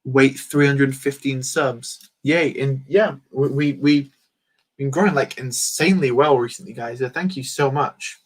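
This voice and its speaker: A man's voice: mid-range voice, measured pace, slightly rough. Rambling young man chatting on a livestream. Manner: rambling, answers chat comments, frequent restarts. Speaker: livestream host. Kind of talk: casual livestream chat while building.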